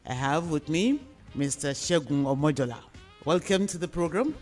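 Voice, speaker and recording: A woman with a Nigerian accent hosting a radio discussion programme, phrasing her questions and points deliberately and emphatically. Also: sits low, lively intonation, darkish tone, faint music behind.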